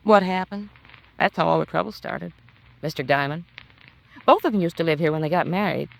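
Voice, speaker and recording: A woman's voice: fairly low pitch, expressive, a little fast, gravelly, poor audio. An actress playing a nosy, gossipy, disapproving landlady in a 1950s radio crime drama, with folksy, chatty colloquial speech.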